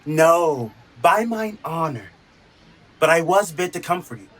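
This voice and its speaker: A man's voice: a little high, animated, unhurried. A man playing a cheeky, mock-solemn court fool with playful, teasing Shakespearean patter in a radio play.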